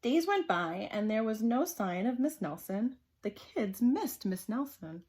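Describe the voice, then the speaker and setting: A woman reads a children's storybook aloud with an animated, emphatic delivery and a slightly husky voice. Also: on the high side, a little slow. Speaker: storybook reader.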